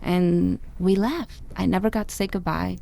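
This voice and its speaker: A woman's voice: lowish voice, normal speed, creaky. A woman from Afghanistan, a podcast guest telling her life story candidly and animatedly. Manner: animated, acts out voices in her stories.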